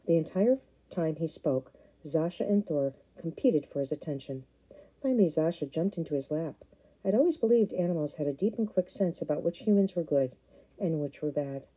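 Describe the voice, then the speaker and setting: A woman narrating a first-person novel as an audiobook in a measured, unhurried way. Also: deep voice, expressive, dark tone, husky, rough recording.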